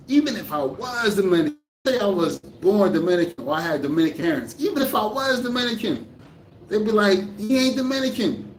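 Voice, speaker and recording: A man's voice: high voice, a little hiss or hum. A man vlogging in a casual, rambling way, often restarting sentences as he thinks aloud. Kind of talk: casual talk to camera. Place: living in the Dominican Republic. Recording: echoey room from a distance, faint ambience.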